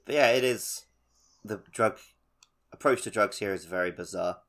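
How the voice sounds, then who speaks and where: A man with a lowish voice and a bright, forceful edge chats casually on a gaming podcast. As co-host he sounds wry and opinionated, offering his takes conversationally. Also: normal speed.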